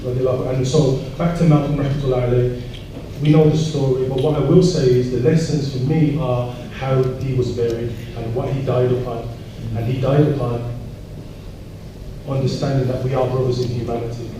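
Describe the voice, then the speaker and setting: A man talks at an event from some distance off the mic, in a heavily echoing room with clear background noise. His delivery is earnest and reflective, fairly flat in melody, with pauses and repeated words as he gathers each point. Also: mid-range voice. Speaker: panel speaker. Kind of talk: panel discussion remarks. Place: large echoey indoor event room.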